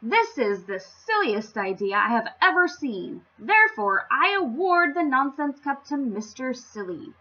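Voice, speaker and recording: Woman playing a grand, drawn-out storybook king. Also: high voice, very animated, bright, forceful tone, highs cut off, exaggerated voice. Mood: delighted, ceremonial. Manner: stately, drawn-out proclaiming. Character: pompous, grand storybook king addressing a crowd. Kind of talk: children's storybook read-aloud.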